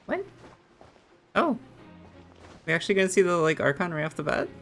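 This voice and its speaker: A woman's voice: fairly low pitch, big pitch swings, brisk. A woman streamer giving casual, giggly, exclamatory reactions while playing a video game. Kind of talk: casual gameplay commentary and reactions. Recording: faint game music behind.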